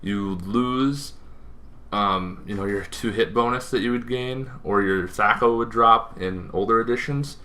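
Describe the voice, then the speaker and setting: A man's voice: lowish voice, unhurried, bright, forceful tone. A man hosting an informal explainer, talking in a rambling way with asides and self-corrections. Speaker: host of a tabletop gaming show.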